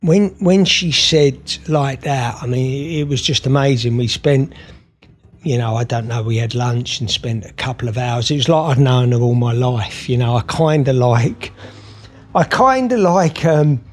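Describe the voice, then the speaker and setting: Candid man with rambling, halting speech. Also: medium pitch, expressive. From Scotland. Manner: rambling, open, many fillers and restarts. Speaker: podcast guest, therapist and author. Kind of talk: conversational interview.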